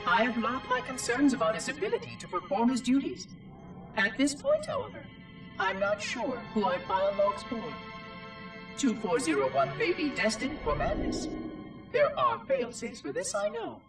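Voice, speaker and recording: A fussy, dutiful artificial intelligence with a very high voice that swings wildly in melody. It delivers formal, wordy game monologues to itself, curious and a little lonely. The sound is roomy and echoing, with music playing behind. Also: wildly swooping pitch, normal speed, distant mic, heavily acted. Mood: uneasy, formal. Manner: formal, precise, verbose, musing to itself. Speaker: installation monitor logging reports. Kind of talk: scripted game cutscene monologue.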